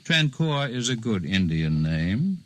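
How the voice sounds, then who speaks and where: An actor playing a serene, enigmatic Indian healer in a 1950s radio drama, speaking in a formal, measured, courteous way. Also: male voice, fairly low pitch, big pitch swings, a bit bright, full character voice. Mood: serene, teasing. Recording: noisy 1950s radio broadcast recording.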